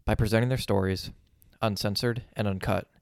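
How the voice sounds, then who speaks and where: Man, podcast host, low gravelly voice, flat steady delivery, dry close sound. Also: no echo. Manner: measured, even reading. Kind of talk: scripted intro and interview questions.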